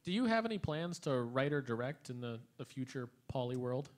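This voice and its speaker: A man in his thirties runs a convention panel. He keeps things brisk and drops dry quips at an ordinary middle pitch into a handheld mic, and the big hall carries his voice. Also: big pitch swings. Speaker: panel moderator. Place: convention panel room, large indoor hall. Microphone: handheld microphone.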